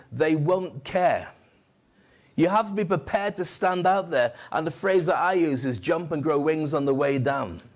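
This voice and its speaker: Upbeat male conference host. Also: fairly high pitch. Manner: jokey, animated, audience-rousing. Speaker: event host and speaking coach. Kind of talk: energetic stage presenting. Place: conference stage to a live audience. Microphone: handheld stage microphone.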